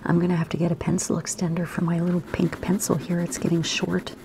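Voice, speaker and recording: Low, gravelly, half-whispered woman teacher. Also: completely flat delivery, fast talker, background noise. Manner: soft, half-whispered, gentle instructions. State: raspy, slightly sore throat. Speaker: art teacher for kids. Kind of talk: step-by-step drawing lesson. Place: home room by a window.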